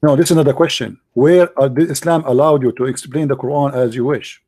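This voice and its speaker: Male debate host, native Arabic speaker, combative and mocking, rapid delivery, dark tone, dry studio sound. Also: medium pitch, steady tone, no echo. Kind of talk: heated call-in debate.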